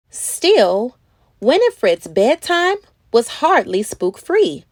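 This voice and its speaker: Female children's read-aloud host, animated storybook narration, unhurried pace, very dry close sound. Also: high-pitched, no room sound. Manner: animated, acts out words and sound effects.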